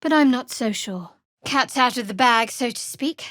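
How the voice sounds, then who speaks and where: Higher-voiced sardonic young cleric played by a woman. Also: normal speed, fairly bright tone, no room sound, close to an everyday voice. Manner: dry, cool, wry. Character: guarded, sardonic young female cleric of a dark goddess. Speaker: video game character. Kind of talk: scripted fantasy game dialogue.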